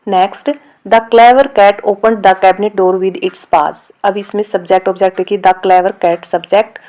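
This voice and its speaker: A woman with an Indian accent explaining a lesson step by step as a teacher, reading out examples slowly and deliberately. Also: mid-range voice, steady tone, a little mellow. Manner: instructional, reads example sentences slowly and deliberately. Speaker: grammar teacher. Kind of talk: step-by-step lesson explanation mixing Hindi and English.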